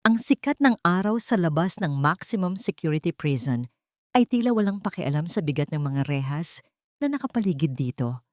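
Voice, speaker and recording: Low-voiced woman narrating a dramatic story. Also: expressive, moderate pace, no echo, clean studio sound. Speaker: audiobook narrator.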